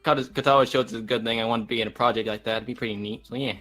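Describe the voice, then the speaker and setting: A man's voice: medium pitch, steady tone, very fast, a bit bright. A man guest chatting casually, with music playing behind him. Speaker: guest voice actor. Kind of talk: casual chat. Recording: background music. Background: guitar music.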